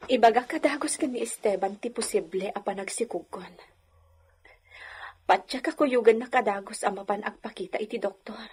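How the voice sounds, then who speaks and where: A woman's voice: mid-range voice, normal speed, a little mellow, husky. A woman playing a young married lady of the house in an audio drama, plaintive and anxious, with a sighing delivery. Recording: noisy track with clicks and insects.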